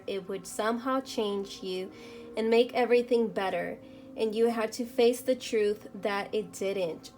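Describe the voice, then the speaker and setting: Slow, soft-spoken woman reading tarot. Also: mid-range voice. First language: Spanish. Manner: soft-spoken, murmuring while shuffling, reading card text aloud. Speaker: tarot reader. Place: indoors at a table.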